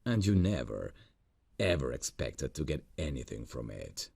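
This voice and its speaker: Earnest lovesick boss, played by a man: husky, dry close-mic sound, lively pitch. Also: no echo, heavily acted. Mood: tender. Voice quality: deep gravelly voice. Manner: soft, intimate, slow and earnest. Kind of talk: ASMR roleplay audio.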